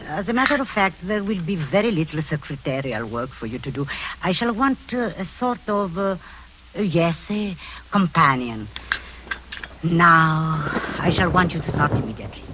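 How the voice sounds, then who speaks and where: An actress in her sixties playing a domineering, sweetly sinister old woman in a 1940s radio drama, speaking softly and insistently with low chuckles. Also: sing-song, normal speed. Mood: sinister, coaxing. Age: 60s. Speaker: Aunt Rita, Miss Crable. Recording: old radio broadcast with faint hiss.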